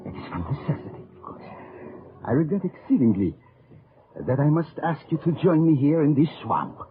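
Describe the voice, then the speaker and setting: An actor playing a sly, courteous French resistance leader with a French accent and formal, wry phrasing, in old radio drama style. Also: male voice, fairly high pitch, sing-song, brisk. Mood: calm, guarded. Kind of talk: 1940s-50s radio drama acting. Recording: muffled noisy old radio recording.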